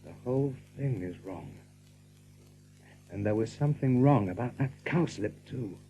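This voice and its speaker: An actor playing an earnest, worried rabbit leader in an audio drama, hesitant and urgent in manner. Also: male voice, on the low side, expressive, moderate pace, warm tone. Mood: troubled. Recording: muffled old audiobook, faint music.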